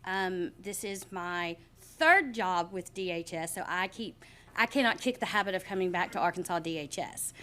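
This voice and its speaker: A woman from Mississippi who runs a state Medicaid program introduces herself in a chatty, self-deprecating way and says her voice gets loud. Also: on the low side, slight background noise. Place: meeting room.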